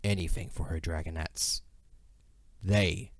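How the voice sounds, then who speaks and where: A man's voice: deep voice, steady tone, slow, no echo, clean studio sound. A man narrating a fantasy audio drama in a dramatic, scripted storytelling style.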